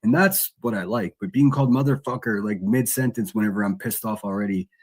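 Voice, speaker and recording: A streamer on a livestream, talking in a rambling, profane way. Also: male voice, on the low side, a little fast. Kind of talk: casual livestream chat.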